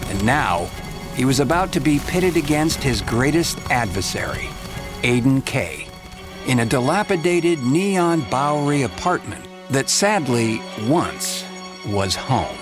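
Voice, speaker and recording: A noir-style investigator narrating a dystopian tale. His medium-pitched voice is dramatic and very varied. Prominent music plays underneath. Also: very animated, unhurried. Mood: grave. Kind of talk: scripted audio drama narration.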